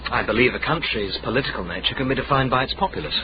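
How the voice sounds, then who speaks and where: An actor playing a smooth, probing gentleman with scholarly pretensions in a radio drama. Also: medium pitch, animated, rapid-fire, natural voice. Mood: suave, probing. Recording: muffled radio drama, clear noise.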